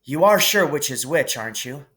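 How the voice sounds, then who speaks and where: A man's voice: medium pitch, lively intonation, moderate pace, sharp tone. A man voicing a brave, modest schoolboy wizard in a storybook reading, plain-spoken and urgent. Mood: modest, uncertain.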